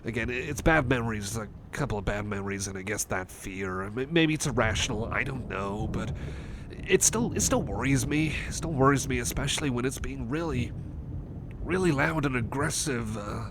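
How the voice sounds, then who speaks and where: A man's voice: medium pitch, animated, normal speed, background noise, natural voice. A man playing a shy, anxious, apologetic cyborg friend in an ASMR roleplay, speaking in a hesitant, nervous stammer. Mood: nervous, spooked. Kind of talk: ASMR roleplay, personal attention.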